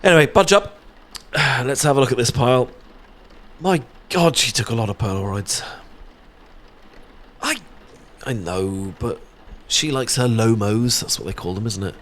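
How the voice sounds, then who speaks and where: A British man playing an affectionate, playful young best friend in an intimate, casual ASMR audio roleplay, chatting and teasing with soft tag questions. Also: mid-range voice, lively intonation, a little slow, long pauses, slight background noise. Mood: playful, fond.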